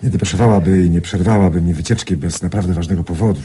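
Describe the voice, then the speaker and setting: A male actor playing a genial, crafty American gentleman traveller in a radio drama, with polished, theatrical delivery. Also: low voice, quick pace, slight background noise, lightly acted. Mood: worried, grave.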